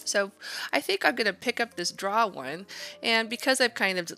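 A woman's voice: mid-range voice, expressive, moderate pace, sharp tone, soft background music. A woman art instructor casually narrating a drawing demonstration, thinking aloud in an informal, encouraging way. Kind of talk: casual drawing tutorial narration over a screen demo.

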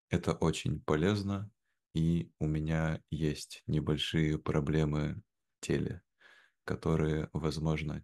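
A young man speaking in a measured, careful way, as a guest in a slow conversation for language learners. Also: extremely low pitch, a little mellow. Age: young adult. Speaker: interview guest. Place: indoor room at home, video call. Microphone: video call, wearing headphones.

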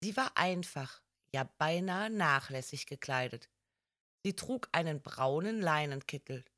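A woman reads an audiobook story at an unhurried pace. Her voice sits slightly low, and her delivery is careful and measured. The sound is dry and close. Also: lively intonation, fairly bright tone, no echo. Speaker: audiobook narrator. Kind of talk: scripted storytelling narration.

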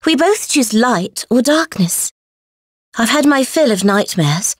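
Gentle dragon lady, game character, fairly high voice, slightly husky, sleepy, dramatic, expressive. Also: slow, dry recording, clean studio sound. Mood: startled, playful. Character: gentle, dreamy adult dragon woman in fairy dress. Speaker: voice actor. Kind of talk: scripted game character voice clips.

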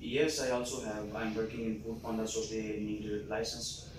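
A man's voice: fairly low pitch, little pitch movement, moderate pace. A man in his twenties answering job interview questions over a video call, hesitant with many fillers, in a small office. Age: 20s. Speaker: job applicant. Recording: echoey room, distant mic, noisy.

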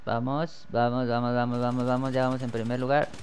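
A young man with a Mexican accent doing excitable live commentary over a shooter game, cursing whenever he gets killed. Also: medium pitch, brisk, a little hiss or hum. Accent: Mexican Spanish. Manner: excitable, swears when killed. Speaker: gameplay commentator.

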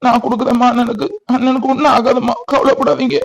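A man's voice: very high voice, flat delivery, quick pace, gravelly, full character voice. A man playing a caring younger brother in a family melodrama, earnest and reassuring, with a southern Tamil Nadu accent.

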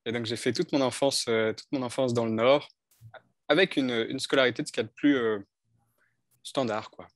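A man's voice: medium pitch, fairly even intonation, brisk, no room sound. Thoughtful man on a headset, dry sound. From northern France. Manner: thoughtful, hesitant, many restarts. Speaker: coach and speaker. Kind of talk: telling his life story in an interview. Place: bright indoor room. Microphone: headset on video call.

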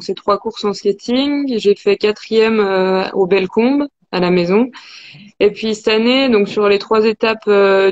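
Casting: young woman athlete, informal interview answers, medium pitch, dull video-call sound. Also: a little mellow. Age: 20s. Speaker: athlete being interviewed, cross-country skier. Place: indoors in a wood-panelled room. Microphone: video call, device at arm's length.

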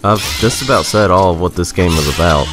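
A man's voice: low voice, fairly quick, music in the background. A man reading a scripted, rhyming video game review in a sarcastic, sing-song manner.

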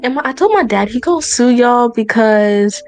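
A young woman complains indignantly in an improvised roleplay call. Her voice is a little high, with soft music behind. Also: expressive, moderate pace. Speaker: game roleplay player.

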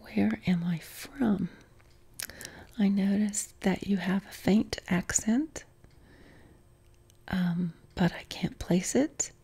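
A middle-aged woman from West Virginia with a softened Appalachian accent, whispering an unhurried question-and-answer chat from her living room couch, close to the mic. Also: mid-range voice, husky. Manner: unvoiced whisper, unhurried with many ums. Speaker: ASMR creator. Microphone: close mic, camera framed on torso.

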